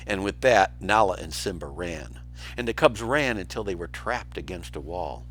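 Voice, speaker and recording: A man's voice: deep voice, lively intonation, normal speed, fairly bright tone, faint music behind. A man reading a children's storybook aloud as a teacher, narrating expressively with brief asides.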